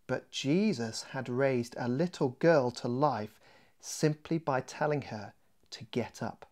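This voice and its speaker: A man reading a children's mystery story expressively, as its author, with deliberate dramatic pauses. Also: medium pitch, darkish tone.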